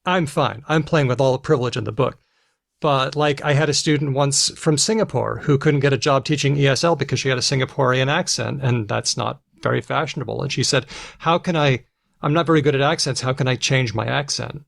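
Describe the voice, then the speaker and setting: Creaky-voiced American man hosting a podcast. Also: medium pitch, brisk, a bit bright, no room sound. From United States. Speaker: podcast host and linguist. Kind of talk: conversational podcast interview.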